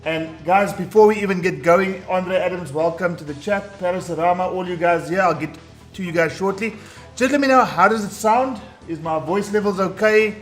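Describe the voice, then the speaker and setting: A man with a booming voice hosting a live tournament stream, hyped and talking straight to his chat. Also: moderate pace. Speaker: tournament host. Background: faint background music.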